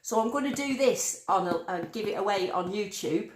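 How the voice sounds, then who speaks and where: A woman's voice: mid-range voice, lively intonation, normal speed, reverberant. A woman from Lancashire with a northern accent that sounds a bit Yorkshire, chatting casually on a livestream and reading out viewer comments. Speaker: metal detecting livestream host.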